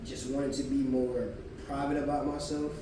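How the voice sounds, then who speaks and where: Raspy man, thirties, laid-back podcast guest, echoey room, far-off mic, background noise. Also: mid-range voice, flat delivery, normal speed. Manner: laid-back storytelling, frequent swearing. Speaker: guest musician. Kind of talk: casual podcast chat.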